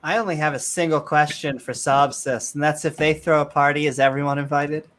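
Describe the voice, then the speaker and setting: A woman co-hosting a casual livestream chat. She tosses out quick jokes and one-liners in a relaxed, offhand delivery. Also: low voice. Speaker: co-host.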